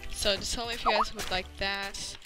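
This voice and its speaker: A thirteen-year-old boy chats casually as he commentates on his gameplay. His voice is youthful and informal, with music clearly playing behind it. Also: sits high, flat delivery, normal speed. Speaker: gaming video host. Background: loud music.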